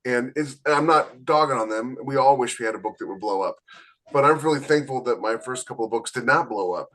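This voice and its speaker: A man's voice: medium pitch, expressive, fairly quick, fairly bright tone. A man co-hosting a podcast, an author talking candidly and reflectively about writing. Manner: candid, reflective, self-deprecating. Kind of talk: casual podcast discussion.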